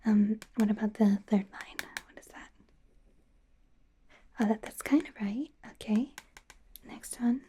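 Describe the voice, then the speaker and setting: A woman voicing a bumbling, eager werewolf doctor in training in a soft breathy whisper, stammering through an ASMR exam roleplay. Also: medium pitch, fairly even intonation, a little slow, long pauses, natural voice. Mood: gentle, encouraging. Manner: hesitant, stammering, softly flustered. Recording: faint background noise, close-up whispering.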